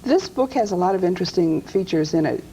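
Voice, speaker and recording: A woman hosting a talk show, asking her guests questions in an upbeat, enthusiastic way. Her voice is low and mellow. A faint hiss sits behind her. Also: animated, quick pace, dull audio.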